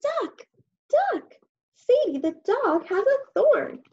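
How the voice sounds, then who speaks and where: A woman reading aloud and voicing an excited, gossip-loving farm hen in a storybook. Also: very high voice, takes it slowly, pitched above the performer's own voice, exaggerated voice. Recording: dull lo-fi recording.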